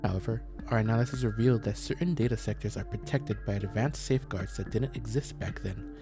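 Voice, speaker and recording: A man's voice: fairly low pitch, brisk. Man streaming a game over music. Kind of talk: casual let's play commentary.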